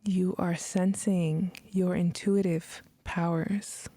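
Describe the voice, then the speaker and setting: A woman guides a meditation very slowly, leaving long pauses between short phrases. Her voice is mellow and slightly low, with soft breathy edges, recorded dry and close. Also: level tone, dry recording. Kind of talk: guided meditation, scripted narration.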